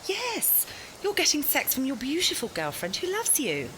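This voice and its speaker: High, animated, demanding girlfriend played by a woman. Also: normal speed, a little hiss or hum, exaggerated voice, low-quality recording. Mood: insistent, defensive. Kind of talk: audio drama dialogue.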